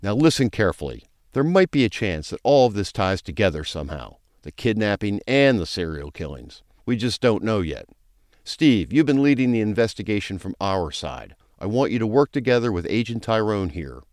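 Gruff, low-voiced police chief, acted by a man. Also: sing-song, normal speed, a little mellow, no echo, studio quality. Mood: stern. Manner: brusque, commanding, crude briefing delivery. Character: gruff, foul-mouthed, sarcastic male police chief. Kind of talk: audio drama acting.

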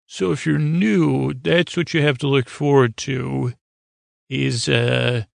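A man with a creaky voice giving a rambling, halting bedtime monologue, often stammering and circling back on his words. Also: medium pitch, fairly even intonation, no echo, clean studio sound. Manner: meandering, halting, stammering repeats. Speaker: podcast host.

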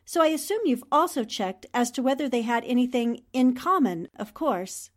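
A woman acting a determined female reporter, 1940s radio drama, high voice, inquisitive, crisp, composed. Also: lively intonation, moderate pace, lightly acted. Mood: probing. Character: brisk, inquisitive, determined newspaperwoman. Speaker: voice actor in an audio drama.

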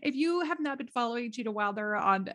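Bright-voiced enthusiastic woman podcast host. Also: on the high side, lively intonation, fairly quick. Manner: enthusiastic, affirming. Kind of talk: casual interview chat.

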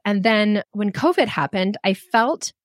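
A woman's voice: mid-range voice, a little slow, no echo, studio quality. Frank middle-aged woman telling her story. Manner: frank, animated, self-deprecating. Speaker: storyteller guest. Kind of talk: candid personal storytelling.

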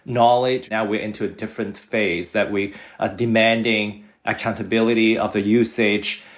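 A man's voice: fairly low pitch, steady tone, normal speed. A middle-aged man from Hong Kong, speaking as a guest on a panel in a hesitant way with many fillers. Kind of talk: panel discussion.

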